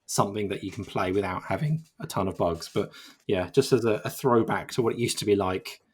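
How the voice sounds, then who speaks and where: A man's voice: fairly low pitch, brisk, slightly rough. A man co-hosting a video game podcast, chatting casually and rambling with many restarts. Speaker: podcast co-host. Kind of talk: casual chat.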